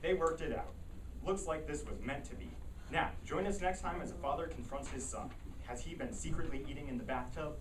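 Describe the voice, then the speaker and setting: A man's voice: on the high side, a little fast, far from the mic, lightly acted. A man playing a polite, unflappable talk-show doctor in an amateur staged reading, presenting formally in an echoey, noisy room. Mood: breezy.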